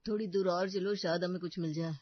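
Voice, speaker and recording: A man's voice: sits high, fairly even intonation, fairly quick, no echo. A man voicing a matter-of-fact younger brother in a Hindi cartoon story, explaining as he talks. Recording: dull, muffled studio voice track.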